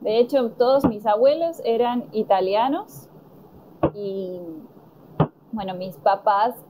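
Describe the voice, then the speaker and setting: An Argentine woman answering conversation questions slowly and deliberately, pausing with fillers. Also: on the high side, expressive, vocal fry, background noise. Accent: Argentine Spanish. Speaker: interviewee. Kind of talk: slow, careful conversation answers.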